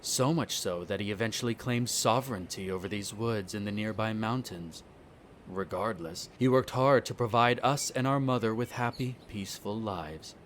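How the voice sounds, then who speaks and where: A man acting a haughty, bitter centuries-old vampire noble in an audio roleplay, speaking in a formal, measured, condescending way. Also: on the low side, a bit bright, slight background noise, lightly acted. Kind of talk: scripted roleplay audio drama monologue.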